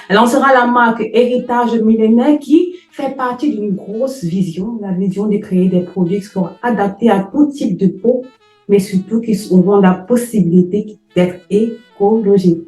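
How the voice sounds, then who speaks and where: A woman's voice: mid-range voice, mellow, reverberant. A woman hosting an interview, speaking with effusive emphasis and repeating phrases for effect. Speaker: host. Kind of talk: emphatic podcast introduction and interview.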